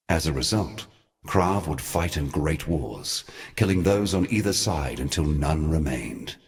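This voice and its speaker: An actor voicing an ancient, weary and scornful god, delivering grave, formal storytelling for a video game in a measured, theatrical way. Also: male voice, extremely low pitch. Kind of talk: scripted dramatic video game narration.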